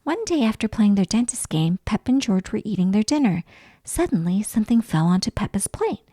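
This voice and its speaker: A woman reading a children's story aloud as an expressive narrator. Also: medium pitch, fairly quick, breathy edge, no room sound, clean studio sound.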